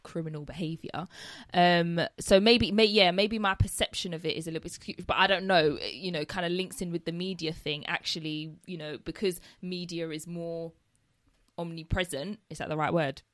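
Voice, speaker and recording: Woman, low voice, hesitant casual chat, dry close sound. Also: steady tone, normal speed, a bit bright, no echo. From London. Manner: hesitant, many fillers and restarts. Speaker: social worker, podcast co-host.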